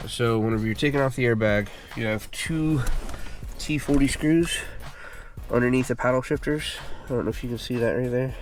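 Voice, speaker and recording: A congested man hosting a car repair channel, giving a relaxed, casual how-to walkthrough full of fillers while working on a car. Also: mid-range voice, moderate pace. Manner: relaxed, filler-heavy explaining.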